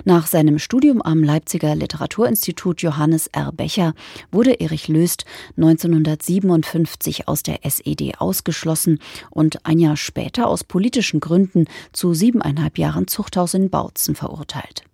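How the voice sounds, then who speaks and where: A woman presenting on radio, reading a scripted introduction in a measured, factual way in a studio. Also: deep voice, creaky, mellow, breathy edge, no room sound. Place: dry broadcast studio.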